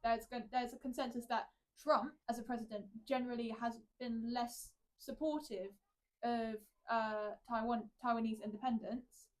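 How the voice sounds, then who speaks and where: A woman's voice: on the high side, little pitch movement, normal speed, poor audio. A woman co-hosting a political podcast, chatty and enthusiastic, with frequent fillers. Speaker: podcast co-host. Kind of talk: casual political chat.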